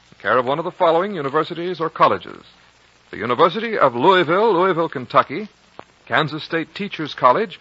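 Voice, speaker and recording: Male American network announcer, 1950s radio. Forceful, bright, lively pitch, formal and polished. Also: husky. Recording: dull vintage radio broadcast.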